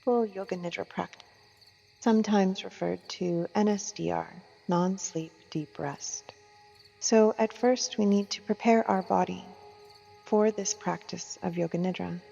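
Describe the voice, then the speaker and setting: Very slow, soothing woman guiding meditation. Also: mid-range voice, fairly even intonation, creaky. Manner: soothing, gentle, unhurried with long pauses. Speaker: yoga teacher guiding meditation. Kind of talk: guided meditation. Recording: muffled, long reverb, music and insect sounds.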